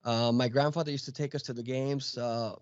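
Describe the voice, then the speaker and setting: A man's voice: medium pitch, steady tone, brisk, smooth, no room sound, dull audio. A man in his late thirties from Mexico, a podcast guest chatting casually with many fillers and restarts. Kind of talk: casual chat.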